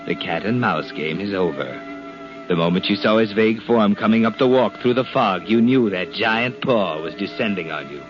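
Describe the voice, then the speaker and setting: A man's voice: on the low side, normal speed, close to an everyday voice. An actor playing a sinister, all-knowing narrator in a 1940s radio mystery, ominous and taunting as he addresses a doomed man. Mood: ominous, gloating. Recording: muffled vintage 1940s radio recording. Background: dramatic music.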